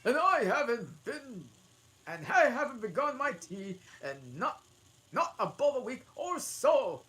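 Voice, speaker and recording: A woman voicing a poor, timid man in a storybook, stammering and pleading loudly. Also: high-pitched, sing-song, unhurried, a little hiss or hum, pitched above the performer's own voice, exaggerated voice. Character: poor, nervous, trembling male Hatter, timid and pleading.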